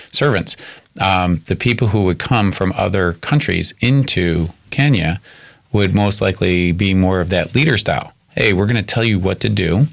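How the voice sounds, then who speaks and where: A man's voice: low-pitched, gravelly. A man hosting a podcast interview, friendly with hesitant restarts. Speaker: podcast host. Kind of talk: conversational interview.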